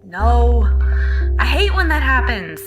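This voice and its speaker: A woman's voice: on the high side, big pitch swings, takes it slowly, over music. A woman playing a personable, wry artificial intelligence aboard a space station, talking conversationally in a radio drama. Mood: exasperated. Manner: conversational, wry, exasperated humanlike delivery.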